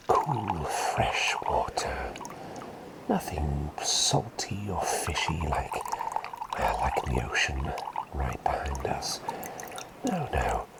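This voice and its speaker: A man playing a kindly, forgetful plague doctor in an ASMR roleplay, whispering gently in a rambling, reassuring way. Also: a bass voice, heavily acted. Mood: gentle, attentive. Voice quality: breathy, whispered.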